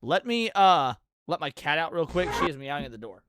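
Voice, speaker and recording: A man's voice: on the high side, big pitch swings, fairly quick, fairly bright tone, a little hiss or hum. A man hosting a livestream, giving casual, profane reaction commentary with muttered asides and some reading aloud. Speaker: livestreamer host. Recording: music behind the voice.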